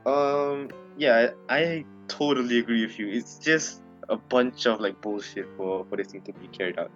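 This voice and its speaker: A man's voice: mid-range voice, normal speed, highs cut off, soft background music. A young man with a Malaysian English accent, co-hosting a casual podcast chat and laughing often. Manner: giggly, laughs often between lines. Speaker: podcast co-host.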